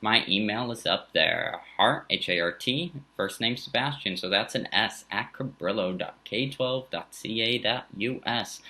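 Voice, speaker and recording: A man in his thirties, a high school teacher from the Bay Area with a slight German accent, giving an earnest recorded welcome full of fillers and restarts. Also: sharp tone. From San Francisco Bay Area. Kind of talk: recorded back-to-school talk to parents.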